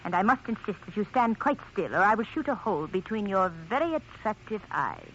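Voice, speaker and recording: Imperious, menacing femme villain, mocking, 1940s radio style, slightly hissy. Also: female voice, medium pitch, very animated, brisk, a bit bright. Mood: cool menace. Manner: imperious, coolly threatening. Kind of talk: 1940s radio drama acting. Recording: dull, hissy old radio recording.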